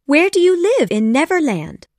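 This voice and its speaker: A woman voicing a polite, excitable young girl in a storybook reading. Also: high voice, moderate pace, dry recording, clean studio sound, pitched above the performer's own voice. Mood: eager.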